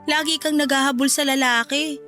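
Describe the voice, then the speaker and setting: A woman's voice: high-pitched, steady tone, moderate pace, pushed and bright, soft background music. A woman playing a jealous, insecure young wife in an emotional, argumentative radio drama scene. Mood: hurt, accusing. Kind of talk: radio drama dialogue acting.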